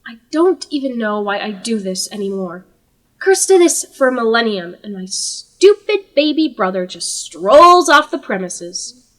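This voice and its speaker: A woman's voice: a little high, sing-song, a little slow, poor audio. A woman playing a bored, sardonic god who hosts a talk show in a comedic audio drama, with a sarcastic, theatrical, teasing delivery. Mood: exasperated.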